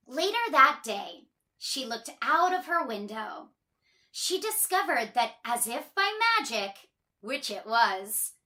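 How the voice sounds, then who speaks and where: A woman reads a picture book to children in a high, girlish register with big swoops of pitch. Her delivery is unhurried and expressive, leaning on words for emphasis. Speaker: children's storybook reader. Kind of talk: animated read-aloud storytelling for children.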